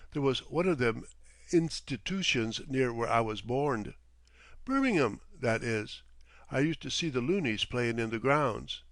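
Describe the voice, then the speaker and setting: A man voicing a gruff, mocking sea captain in an audiobook, with a Cockney accent, dropped aitches and a needling, sardonic way of teasing. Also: mid-range voice, a bit bright, no room sound. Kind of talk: audiobook character voice.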